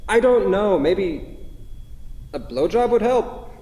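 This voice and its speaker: A man's voice: high voice, lively intonation, takes it slowly, warm tone. A man reading the part of an insecure, needy lover in a staged play, earnest, apologetic and wordy. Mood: sad, wry. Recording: echoey theatre hall, faint noise.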